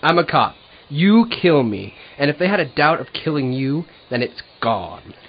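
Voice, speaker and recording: A man's voice: fairly high pitch, big pitch swings, moderate pace. A man playing a wry, tough police detective in a noir audio drama. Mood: defiant. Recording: dull lo-fi home recording.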